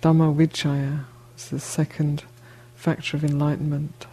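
A woman teaching a guided meditation, speaking gently and unhurriedly in short phrases with long pauses between them. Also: sits low, level tone, a little hiss or hum, low-quality recording.

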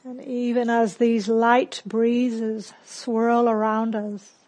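A woman leading a guided meditation as a teacher, calm and unhurried, giving gentle instructions with long pauses. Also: fairly high pitch, low-quality recording.

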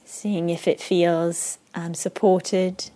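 Soft-spoken woman guiding a meditation. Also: fairly low pitch, little pitch movement, rough recording. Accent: Israeli. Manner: slow, soft and unhurried, with long pauses. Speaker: meditation teacher. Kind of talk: guided meditation.

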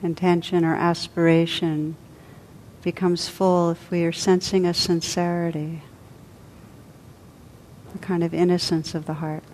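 A woman with a fairly low voice leads a guided meditation. She speaks softly and without hurry, leaving long pauses between her phrases. A faint hiss sits under the recording. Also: level tone, poor audio. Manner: soft, unhurried, with long pauses. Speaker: meditation teacher.

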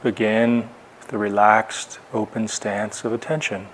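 A man giving guided meditation instruction as a teacher, speaking in short, unhurried phrases with long pauses. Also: fairly low pitch, little pitch movement. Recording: clear background noise, room ambience.

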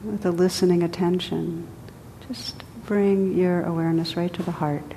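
A woman teaching a guided meditation, speaking gently and unhurriedly in short phrases with pauses between them. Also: fairly even intonation, gravelly. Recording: echoey hall, rumbly background noise.

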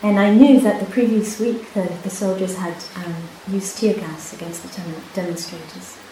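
Brief: Hebrew-speaking woman, meditation teacher, halting, reflective delivery; boomy retreat-hall recording. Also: fairly even intonation. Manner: soft-spoken, unhurried, with many pauses and restarts. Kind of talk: dharma talk with personal story. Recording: echoey hall, faint hiss.

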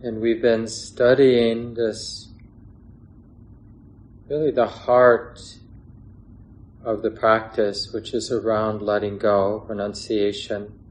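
A man teaching a guided meditation in a gentle, unhurried way, pausing between short phrases. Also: on the low side, level tone. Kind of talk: guided meditation instruction, unscripted.

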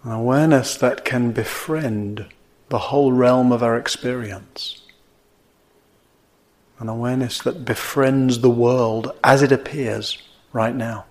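A man teaching meditation, guiding gently and in a measured way, with pauses between short phrases. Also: mid-range voice, fairly even intonation. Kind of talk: guided meditation.

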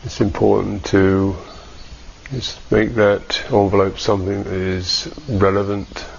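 A man teaching a guided meditation in a measured, halting way with long pauses, on a dull recording. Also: lowish voice, flat delivery, creaky.

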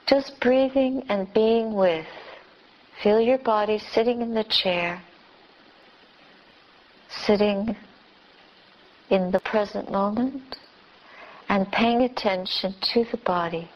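A woman teacher leading a guided meditation with gentle instructions in short, spaced phrases. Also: mid-range voice, slow, pauses often. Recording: dull, lo-fi recording.

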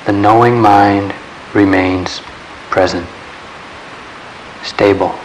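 A man leading a guided meditation in a calm, measured way, leaving long pauses between short phrases. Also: on the low side, rough recording. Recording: steady background hiss.

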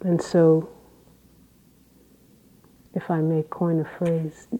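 Woman, low voice, mellow tone, Zen teacher, halting dharma talk. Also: little pitch movement, highs cut off. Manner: slow, halting, with long pauses.

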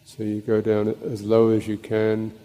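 Very mellow, slow man guiding meditation. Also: lowish voice, monotone. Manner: contemplative, halting, long pauses between short phrases. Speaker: Buddhist monk and meditation teacher. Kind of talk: guided meditation and Dhamma talk. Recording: long reverberant hall echo.

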